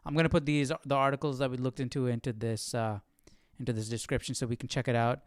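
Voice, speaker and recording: A man talks casually as a livestream host, puzzling things out aloud. His voice is clear and smooth, with an informal, questioning manner. The sound is dry and close, with little room around it. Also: medium pitch, level tone, quick pace, no room sound. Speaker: host of a Bible study livestream.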